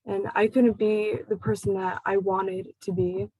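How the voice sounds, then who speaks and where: A young woman from the United States telling her personal story to a support group, speaking haltingly with pauses between phrases. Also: medium pitch, little pitch movement, a little mellow, slightly rough, no room sound, poor audio. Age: young adult.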